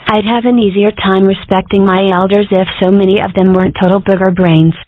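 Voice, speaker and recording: A woman's voice: mid-range voice, fairly even intonation, a little fast, no room sound, lightly acted. A sarcastic, rebellious young schoolgirl from a comic-strip cartoon , voiced by a woman. The sound is dry and squeezed into a narrow, phone-like band. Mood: sardonic. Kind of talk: scripted comic-strip dub.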